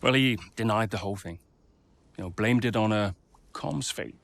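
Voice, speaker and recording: A man's voice: fairly low pitch, moderate pace, vocal fry. An actor playing a firm, blunt racing team boss questioning his driver in a naturalistic game cutscene. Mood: matter-of-fact. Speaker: team principal.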